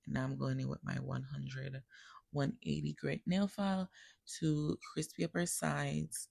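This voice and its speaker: A tired woman, a nail technician, talks viewers step by step through her work in a relaxed tutorial voice-over. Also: low-pitched, a little slow, creaky, breathy edge, dry recording, clean studio sound. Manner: relaxed step-by-step explaining, addresses viewers as guys. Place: recorded separately as a voice-over.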